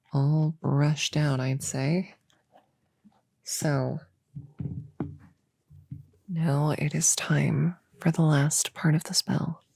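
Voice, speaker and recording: A woman plays a young novice witch in an intimate ASMR roleplay, murmuring low and unhurried. Her soft, breathy voice has a crackle of vocal fry and often sinks to a whisper. She muses casually to a friend, eager and a bit grumpy, close on the mic. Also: no echo, full character voice. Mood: focused, playful. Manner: casual, hesitant, musing aloud to a friend. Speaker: audio roleplay performer. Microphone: close microphone in a dry space.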